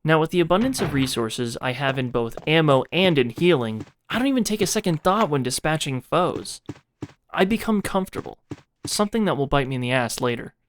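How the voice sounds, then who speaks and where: A man's voice: fairly high pitch, expressive, brisk, a little hiss or hum. A man hosting a video game retrospective, giving informal, mildly sweary commentary.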